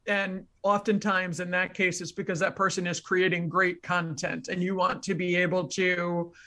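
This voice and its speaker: A woman's voice: fairly low pitch, a bit bright. A woman speaking as a guest expert, explaining methodically in conversation with examples and contrasts.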